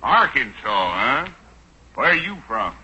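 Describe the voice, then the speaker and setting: Cowboy rival: slow, very sharp, swooping voice, terse and indignant, vintage muffled radio. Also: male voice, medium pitch, very animated, full character voice. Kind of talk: 1940s radio comedy acting. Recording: dull, noisy 1940s radio recording.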